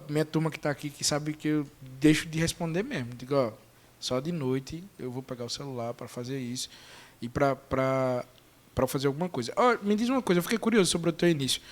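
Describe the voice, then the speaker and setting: A man hosting a casual podcast chat, lively and joking. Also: on the high side. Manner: lively, joking, quick-talking. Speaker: podcast host.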